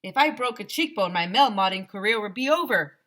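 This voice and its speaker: A woman's voice: medium pitch, lively intonation, fast talker, fairly bright tone, pitched above the performer's own voice, natural voice. A woman voicing a cocky, defensive schoolboy who is full of himself, in a storybook reading. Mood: self-important. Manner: indignant, self-important.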